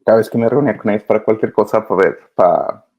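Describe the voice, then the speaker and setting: A man's voice: lowish voice, quick pace. A man answering interview questions casually and earnestly, often hesitating as he searches for words. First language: Spanish. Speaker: interview guest, migrant children's advocate. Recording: slightly dull, lo-fi sound.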